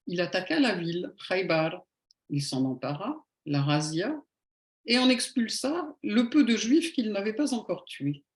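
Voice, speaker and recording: A woman giving a prepared public lecture in a didactic, rhetorical manner, with emphatic phrasing and deliberate pauses. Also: mid-range voice. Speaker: lecturer, conference speaker. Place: indoor room at a public talk. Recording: echoey room.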